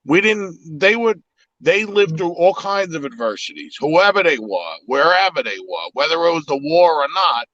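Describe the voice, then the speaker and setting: A man's voice: fairly high pitch, big pitch swings, moderate pace, a bit bright, dry recording, lo-fi sound. A man whose first language is Italian, talking in a casual, reflective way on a live podcast, with many restarts. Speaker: podcast host.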